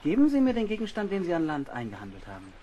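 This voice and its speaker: An actor playing an officious, prim ship's clerk who is easily frightened, in an audio drama. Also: male voice, a little high, wildly swooping pitch, very fast, dark tone, lo-fi sound, a little hiss or hum.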